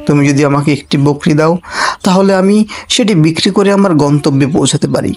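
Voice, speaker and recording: A man playing a cartoon royal guard disguised as a poor traveller, pleading and preaching in an earnest, deliberate way. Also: fairly high pitch, darkish tone, a little hiss or hum, lightly acted. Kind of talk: Bengali cartoon character acting.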